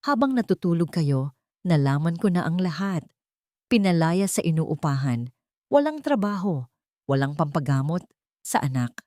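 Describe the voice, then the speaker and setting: A woman's voice: low voice, very animated, normal speed, long pauses, dark tone, no room sound, clean studio sound. A woman with a Filipino accent voicing a cold, brooding rich man in a romance story, blunt and businesslike. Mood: cold, knowing.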